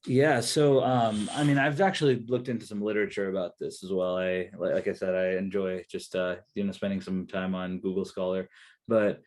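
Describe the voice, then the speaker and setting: A man's voice: deep voice, little pitch movement, moderate pace, darkish tone. A young man whose first language is Kannada, a researcher and therapist answering interview questions in a rambling way, full of fillers and restarts. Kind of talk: casual interview talk.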